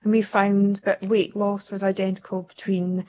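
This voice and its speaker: Woman researcher, measured explanation, mid pitch, muffled lo-fi sound. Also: level tone. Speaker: researcher guest. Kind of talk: radio interview answer. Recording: dull lo-fi broadcast sound.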